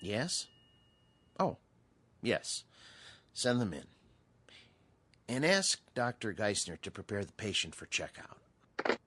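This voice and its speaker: Calm shrink in a radio drama, lowish voice that moves around a lot, explains things carefully. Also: male voice, slight background noise, full character voice. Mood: measured, explaining. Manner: measured, explanatory. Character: calm, authoritative male psychiatrist at a mental hospital.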